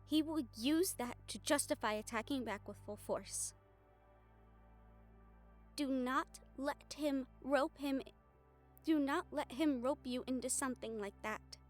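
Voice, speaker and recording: A woman playing a guarded, protective young fiancée in an intimate audio roleplay drama, speaking earnestly and emphatically with deliberate pauses. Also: high-pitched, soft background music. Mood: urgent, pleading.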